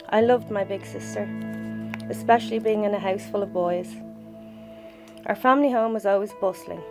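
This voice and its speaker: Dublin woman reminiscing, music underneath. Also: medium pitch. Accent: Dublin Irish. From Dublin, Ireland. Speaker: bereaved sister giving a tribute. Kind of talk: personal memorial tribute, mostly read.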